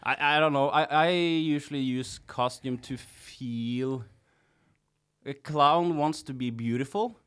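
A man speaks as a podcast guest, a clown by trade. His talk is halting and full of restarts, with a gently teasing edge. His pitch sits in an ordinary middle range. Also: clean tone. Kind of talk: casual conversation.

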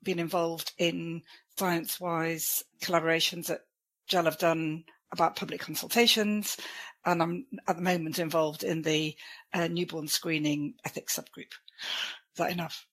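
Woman professor on a podcast, lowish voice, brisk and articulate. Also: steady tone, fairly bright tone. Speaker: professor, guest. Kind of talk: podcast interview.

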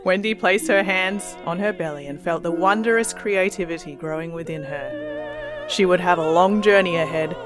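A woman's voice: on the low side, wildly swooping pitch, moderate pace. A woman co-hosting, telling a playful comic story and chatting about music, laughing often. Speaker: podcast co-host.

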